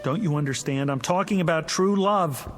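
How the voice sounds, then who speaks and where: An actor playing a lovesick, desperate, impetuous suitor, eager and effusive, in a vintage television drama. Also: male voice, fairly high pitch, normal speed, faint music behind, natural voice. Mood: excited.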